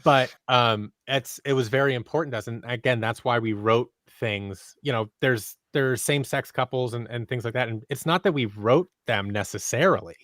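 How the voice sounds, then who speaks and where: Halting, conversational man on a dry mic. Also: medium pitch, fairly bright tone, no echo. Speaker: podcast guest, audio drama creator. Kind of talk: conversational interview answers. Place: small home office, indoors. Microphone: close boom-arm microphone on a video call.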